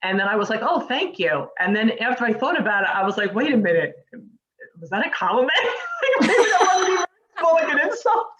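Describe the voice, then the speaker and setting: A woman with a New York accent hosting a casual interview, chatty and encouraging and quick to laugh. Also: fairly high pitch, big pitch swings, a bit bright. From United States. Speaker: host. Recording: muffled lo-fi audio.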